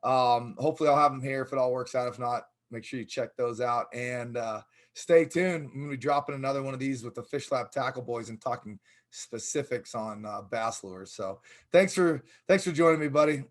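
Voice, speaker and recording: A man's voice: medium pitch, brisk. Easygoing middle-aged man hosting. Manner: laid-back, agreeable, laughs easily. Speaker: host. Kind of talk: casual chat.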